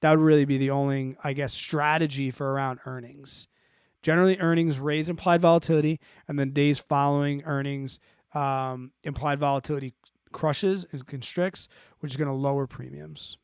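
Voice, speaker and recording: Man, slightly high pitch, casual off-the-cuff explainer, blunt, conversational check-ins, dry close sound. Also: fairly even intonation, normal speed, smooth, no echo. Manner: informal, blunt, thinks aloud with rhetorical check-ins. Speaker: host of an investing live stream. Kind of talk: casual off-the-cuff explaining, answering viewer questions.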